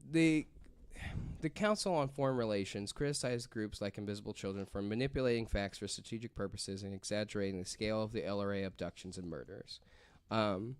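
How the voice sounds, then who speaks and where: A man's voice: lowish voice, fairly quick, smooth, no room sound. A man presenting a story on a casual podcast, reading from notes and rambling through asides peppered with "like".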